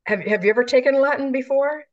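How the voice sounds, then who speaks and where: A woman's voice: high-pitched, quick pace. A woman who teaches Latin, joining a webinar by video call and chatting curiously with the host. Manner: curious, chatty, asking questions. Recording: dull lo-fi call audio.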